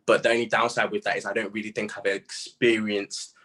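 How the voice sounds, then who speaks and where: A young British man with a low-pitched, bright and forward voice. He chats casually, like a musician in an interview, using slang freely and reflecting candidly on himself. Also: flat delivery, fairly quick, breathy edge. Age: late teens.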